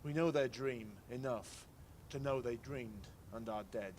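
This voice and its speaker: Clear urban noise with vehicles surrounds a man reciting verse outdoors. His voice sits at a middle pitch for a man. He phrases the lines in a measured, declamatory way, as a reader at a public commemoration. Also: a little mellow. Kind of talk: poetry recitation. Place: outdoors in a city square.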